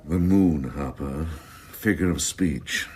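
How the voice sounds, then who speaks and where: An actor playing a cynical, ruthless senior police officer in a radio crime drama, talking in a pompous, grandiloquent, sardonic way. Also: male voice, a bass voice, slow, a little mellow, slight background noise. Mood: lofty scorn.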